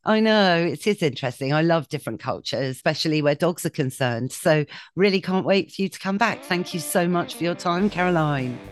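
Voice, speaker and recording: A woman's voice: low-pitched, expressive, fairly quick, few pauses, no echo, soft background music. A woman hosting a podcast in a chatty, casual way, often saying "you know" and laughing lightly. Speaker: podcast host.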